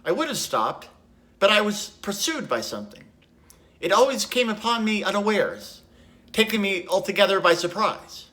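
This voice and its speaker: A man's voice: high-pitched, sing-song, normal speed, sharp tone, voice pushed up, exaggerated voice. A man playing a haunted, guilt-ridden young poet in a lyrical, reflective theatrical monologue. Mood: wistful, haunted.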